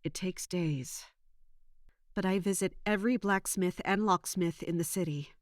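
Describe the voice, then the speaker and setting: A determined young female investigator in an audio drama, played by an actress. Her voice is fairly low for a woman, and she speaks in a measured, earnest and courteous manner, as if thinking a case through. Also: no room sound, studio quality, natural voice. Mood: pensive, suspicious. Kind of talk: audio drama narration and dialogue.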